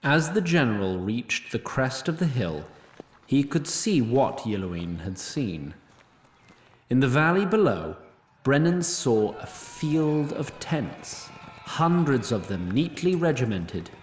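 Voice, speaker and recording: A man's voice: medium pitch, sing-song, slow pace. Wry male narrator with roomy echo. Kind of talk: scripted storybook-like narration of a fantasy audio drama.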